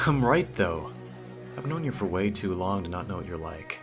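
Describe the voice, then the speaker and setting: A man's voice: sits low, very animated, measured pace, over music. A man playing a teasing, smitten teenage childhood friend in an ASMR audio roleplay, talking straight to the listener in a conversational way. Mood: playful, fond.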